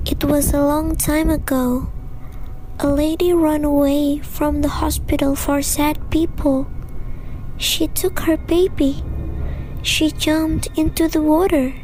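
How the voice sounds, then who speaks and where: A woman's voice: high-pitched, flat delivery, takes it slowly, darkish tone, soft background music, poor audio. A woman voicing a small, frightened little girl in a student radio drama. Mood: dreamy.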